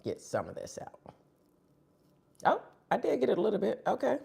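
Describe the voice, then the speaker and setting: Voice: medium pitch, huge pitch swings, normal speed, gravelly, a little mellow, breathy edge. A live-stream host chatting casually between bites of food, sniffling. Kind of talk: casual live-stream chat while eating.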